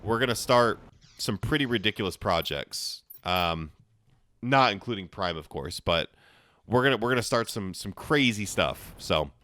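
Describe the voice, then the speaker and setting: A young man with a hoarse voice, chatting casually as he plays a game for his channel, rambling with frequent restarts. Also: fairly low pitch, expressive, normal speed, sharp tone, dry recording. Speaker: gaming channel host. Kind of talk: casual gameplay commentary.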